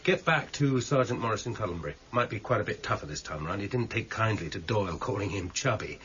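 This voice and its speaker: Low-voiced, unruffled senior police detective. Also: male voice, animated, a bit bright, slight background noise. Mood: thoughtful. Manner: measured, probing, dryly polite. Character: shrewd, unruffled senior male police detective, dryly insistent. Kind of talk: radio crime drama acting. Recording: dull, muffled radio drama recording.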